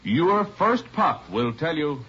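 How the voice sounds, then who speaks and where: A man's voice: a little high, sing-song, slow, slightly rough. Emphatic American radio announcer, swooping male voice. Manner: declamatory, emphatic. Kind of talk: 1950s radio announcing, scripted ad and show intro. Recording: muffled old radio recording, loud music.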